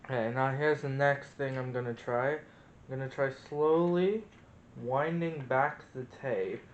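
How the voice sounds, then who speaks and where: A man's voice: medium pitch, muffled recording. A man presenting a casual hands-on experiment, thinking aloud as he demonstrates. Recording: roomy echo, noticeable background noise.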